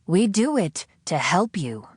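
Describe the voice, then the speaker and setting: A preachy, condescending captor, performed by a woman narrator, speaking with slow, sanctimonious deliberation in an audiobook. The voice is dry and close, lifted a little above a low natural register. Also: big pitch swings, breathy edge, no room sound, exaggerated voice. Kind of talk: audiobook character acting.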